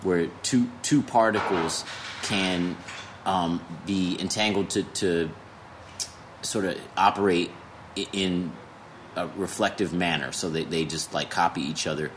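A man's voice: deep voice, fairly even intonation, low-quality recording. A man in his thirties hosting a casual conversational interview, informal and salty, restarting his phrases as he explains things. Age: 30s. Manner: informal, profane, halting restarts when explaining. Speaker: podcast host. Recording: noticeable background noise.

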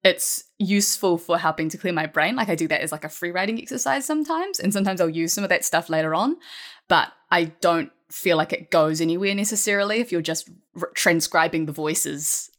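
A woman's voice: fairly low pitch, lively intonation, a little fast, a bit bright. A woman talking casually as a writer guest on a podcast, rambling and correcting herself as she goes. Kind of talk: casual panel chat.

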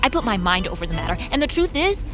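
A woman's voice: mid-range voice, very animated, quick pace, over music, natural voice. An actress in an audio drama playing a guarded young female vampire, curt but earnest. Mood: earnest, pleading. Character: guarded young woman vampire longing to be normal. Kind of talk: naturalistic audio drama acting.

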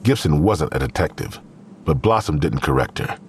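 Male narrator, bass register, grave literary storytelling for scripted drama, expressive intonation. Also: moderate pace, slight background noise.

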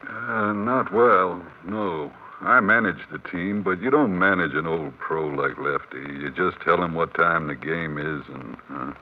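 An actor playing a plain-spoken, weary baseball manager with a halting, folksy manner in a 1950s radio drama. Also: male voice, low voice. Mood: halting, regretful. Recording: dull, faintly noisy vintage radio recording.

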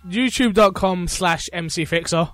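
Higher-voiced man, dry close sound. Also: normal speed, a bit bright, dry recording. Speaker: podcast co-host. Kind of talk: casual group podcast chat.

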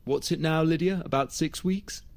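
An actor playing an affable, curious, slightly tactless young boyfriend, casual and questioning, in an audio drama. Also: male voice, on the high side, moderate pace, vocal fry. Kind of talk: naturalistic audio drama acting.

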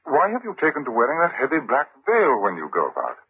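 Bewildered, scoffing husband in vintage radio drama; husky, animated, incredulous, narrow-band sound. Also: male voice, mid-range voice, fast, heavily acted. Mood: concerned, reproachful. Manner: stiff, incredulous, protesting. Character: exasperated English squire, bewildered, scoffing husband. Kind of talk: old-time radio drama acting. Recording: muffled old radio broadcast recording.

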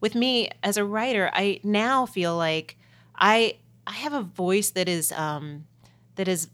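A woman's voice: mid-range voice, takes it slowly, fairly bright tone. A woman songwriter, a guest in a casual interview, talking in a candid, reflective way with some self-mocking humor.

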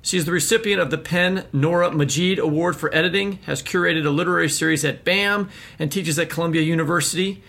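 A man's voice: on the high side, fast talker, vocal fry, few pauses, a bit bright. A man co-hosting a literary podcast, introducing guests and asking questions in an upbeat, joking way. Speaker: podcast co-host. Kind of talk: podcast interview.

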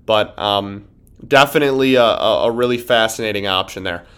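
A man's voice: lowish voice, normal speed, fairly bright tone, clean tone. Chatty man hosting a sports podcast. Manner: chatty, emphatic, repeats himself, many restarts. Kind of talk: casual sports podcast talk.

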